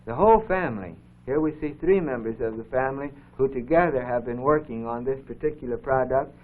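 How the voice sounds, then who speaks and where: A man giving formal, measured explanatory commentary as co-host of an educational program, on a 1950s broadcast recording. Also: mid-range voice, narrow, tinny audio. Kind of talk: unscripted explanatory commentary over film footage.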